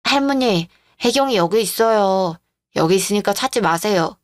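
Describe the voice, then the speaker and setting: A woman's voice: medium pitch, animated, normal speed, no echo, clean studio sound, close to an everyday voice. A woman playing an emotional teenage girl, attached to her family and resentful, in a radio-drama style story. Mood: concerned, wistful.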